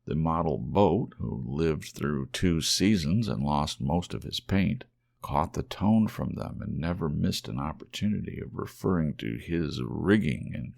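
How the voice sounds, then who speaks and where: A man narrating a children's storybook in an ASMR audiobook style, measured and expressive. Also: low-pitched, gravelly.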